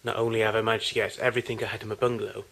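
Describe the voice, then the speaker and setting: A man's voice: fairly low pitch, little pitch movement, racing, gravelly, a bit bright. A man giving an unscripted tour of his collection in a home video, recorded in a garage on amateur camcorder audio.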